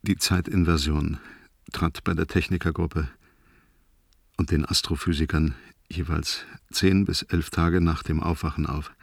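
A man's voice: very deep voice, steady tone, a little slow, vocal fry, no echo, clean studio sound, full character voice. An actor playing a weary older crewman dictating a grave spaceship log in a radio play. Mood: grave, factual. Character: weary oldest crewman logging a spaceship emergency.

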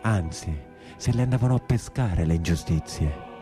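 Give the voice of an imprisoned southern Italian brigand, bitter and plain-spoken. His theatrical monologue is colloquial and anguished, moving widely in pitch. Faint music sits behind this radio drama. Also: expressive, moderate pace. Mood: anguished, solemn. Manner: colloquial, dialect-laced, dramatic.

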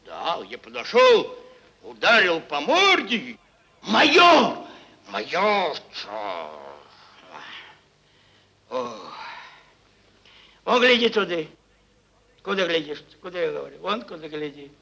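Bullying merchant boss, high gravelly voice, cynical, rambling, repetitive, theatrical audio drama. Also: male voice, huge pitch swings, takes it slowly, pauses often, pushed and bright, exaggerated voice. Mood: rambling musing. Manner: rambling, repeats words, chuckles, mocking. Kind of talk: theatrical stage acting, Russian classic prose.

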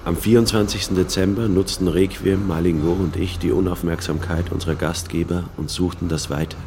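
An actor playing the first-person narrator of a gritty urban radio play, in literary narration. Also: male voice, low voice, steady tone, brisk, a little mellow, a little hiss or hum. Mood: narrating.

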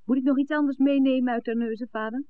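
An actress playing a gentle, anxious, hesitant young daughter in a 1960s radio drama. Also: female voice, sits high, steady tone, fast talker, close to an everyday voice. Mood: gentle, concerned. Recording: tinny 1960s radio recording.

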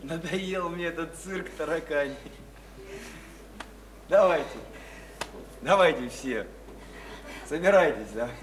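A man's voice: fairly high pitch, slow, pauses often. An actor in a radio play voicing an exasperated, sardonic man who repeats himself in agitated mockery. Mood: bitter mockery. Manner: agitated, mocking, repetitive. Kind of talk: theatrical Russian radio play acting. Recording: noisy radio play recording.

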